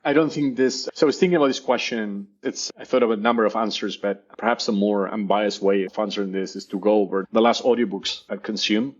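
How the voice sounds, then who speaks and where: A man's voice: fairly low pitch, fairly quick, hardly any pauses, dull audio. A man with an Argentinian Spanish accent, a native Spanish speaker, talking in a casual interview, enthusiastic and often repeating words as he searches for them. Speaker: guest, professor.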